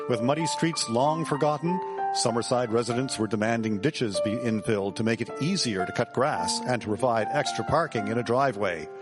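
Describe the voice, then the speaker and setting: A man's voice: mid-range voice, close to an everyday voice. A man reading scripted narration as the narrator of a radio drama. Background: loud instrumental music.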